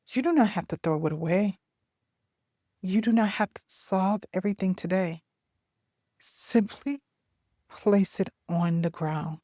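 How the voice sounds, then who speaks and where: A woman narrating a soothing guided meditation in a gentle, deliberate way, leaving long pauses between phrases. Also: mid-range voice, no room sound, studio quality.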